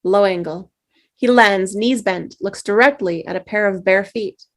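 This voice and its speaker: Role: narrator. Sex: woman. Style: reading screenplay directions. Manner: steady, plain. Pitch: medium. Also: measured pace. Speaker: stage-direction reader.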